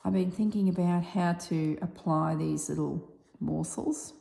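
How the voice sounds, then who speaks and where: An Australian woman with a husky, slightly strained voice chatting casually while she stitches, softly dropping toward a whisper at times. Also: on the low side, fairly even intonation, unhurried. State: voice strained from yelling. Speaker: embroidery vlogger. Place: tiled room with little on the floor. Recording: echoey tiled room.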